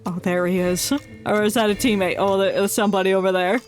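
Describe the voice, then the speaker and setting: Streamer w/ androgynous mid pitch voice, chatty n playful, kinda muttering to self while gaming, game music in the back. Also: flat delivery, fast. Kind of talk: casual live gaming commentary. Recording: music behind the voice.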